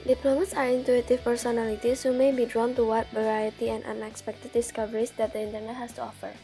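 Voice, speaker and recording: A woman's voice: fairly high pitch, flat delivery, fast, mellow, soft background music. Young woman reading a video journal. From Indonesia. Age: young adult. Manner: plain, careful reading with a few slips of grammar. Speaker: student. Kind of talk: read-aloud video journal narration.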